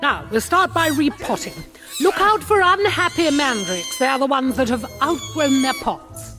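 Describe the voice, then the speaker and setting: A hearty herbology teacher with an English accent, high-voiced and very animated. She is brisk and encouraging, a fantasy game character with music playing behind. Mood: instructive. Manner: hearty, instructive, precise. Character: brisk, encouraging middle-aged woman herbology professor. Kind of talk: video game voice acting.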